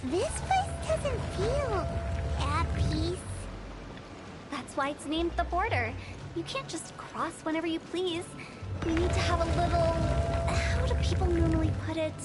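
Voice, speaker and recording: High-voiced lofty game heroine. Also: female voice, lively intonation, measured pace. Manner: dramatic combat calls. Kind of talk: video game voice acting. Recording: game audio under loud music.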